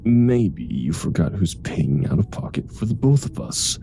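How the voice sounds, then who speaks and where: A grumpy male superhero played by a voice actor in a comedy audio drama, low and very dark in tone with a gravelly creak. He sounds dryly sarcastic and fed up with his nemesis, over a faint rumbling noise. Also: sing-song, a little slow, full character voice. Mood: irritated, dry. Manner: dry, weary sarcasm. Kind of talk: comedic audio roleplay acting.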